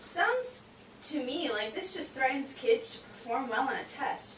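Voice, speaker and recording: A woman voicing a cheeky, naive, excitable puppet sidekick in comic scripted banter. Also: high-pitched, measured pace. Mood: curious, cheeky. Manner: playful, exclamatory, wisecracking. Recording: echoey, distant, noisy room.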